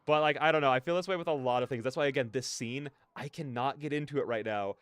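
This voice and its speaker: A man's voice: medium pitch, fast, clean tone, no room sound. A man hosting a livestream, chatting casually in a rambling, slangy, digressive way. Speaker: livestreamer hosting a reaction stream.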